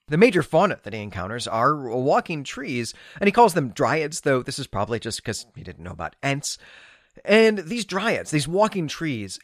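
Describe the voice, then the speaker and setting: A man's voice: medium pitch, fairly bright tone, no room sound, studio quality. A man hosting a literary podcast, giving analytical, bookish commentary with emphatic phrasing. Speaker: podcast host.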